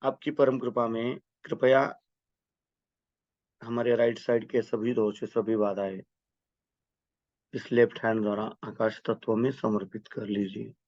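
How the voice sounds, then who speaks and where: A man's voice: lowish voice, little pitch movement, measured pace, long pauses, darkish tone, dry recording, dull audio. A man leading a guided meditation with prayers, speaking in a reverent, instructional manner.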